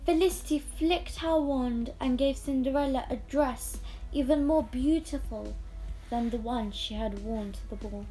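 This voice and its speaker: A female reader narrating a fairy tale aloud in an expressive, scripted storytelling style. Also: high voice, a little slow, soft background music, low-quality recording.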